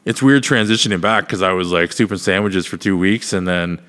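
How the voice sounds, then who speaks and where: A man co-hosting a podcast in casual chat, easygoing and quick to agree. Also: sits low, a bit bright, smooth, big-room sound. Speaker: podcast co-host.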